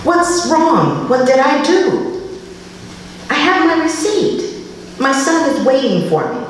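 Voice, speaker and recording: Woman acting out a desperate young dad, pleading, urgent, high-pitched, spoken-word poem, echoey hall. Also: very animated, slow pace, full character voice. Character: anguished young father pleading with police. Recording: echoey hall, distant mic, faint noise.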